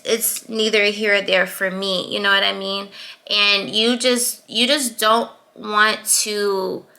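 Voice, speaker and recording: Young female vlogger, sweet high voice with crisp brightness, frank advice, easy laughs. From Atlanta, US. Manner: assertive, chatty, laughs between points. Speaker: YouTuber. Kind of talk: casual advice vlog.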